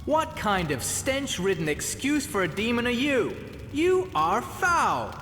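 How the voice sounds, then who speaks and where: A man's voice: very high-pitched, big pitch swings, slow pace, gravelly, heavily acted. A man playing a bold, sardonic young swordsman hero in a video game, speaking in a formal, earnest way. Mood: disgusted. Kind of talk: video game character acting. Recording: echoey reverberant sound, ambient noise.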